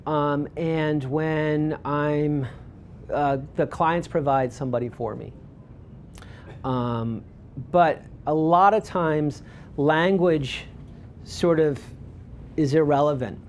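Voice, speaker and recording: Man, relaxed presenter, somewhat high voice, loose and rambling with lots of ums. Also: slow pace, long pauses, slight background noise. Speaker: photographer giving a talk. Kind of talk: presentation with audience questions.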